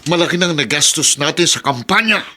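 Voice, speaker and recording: A domineering, scheming mayor in a Filipino radio drama. His voice sits a little high for a man and is hard and tense, pressing demands like a suspicious husband. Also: lively intonation, fairly quick, exaggerated voice. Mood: demanding. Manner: forceful, demanding.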